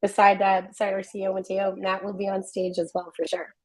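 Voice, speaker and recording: A woman with a hoarse, worn-out voice, organizer of the event, explaining it in an upbeat, friendly way as a guest on a video call. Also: medium pitch, monotone, fairly quick. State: hoarse, lost voice.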